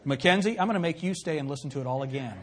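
A man preaching a sermon from the pulpit, emphatic, swinging from loud peaks to low, quiet lines. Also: expressive, rapid-fire, a faint crowd, slight background noise. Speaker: pastor.

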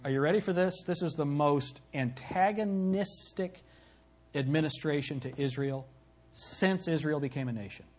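A man preaching a sermon from the pulpit, emphatic, swinging from loud peaks to low, quiet lines. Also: normal speed. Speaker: pastor.

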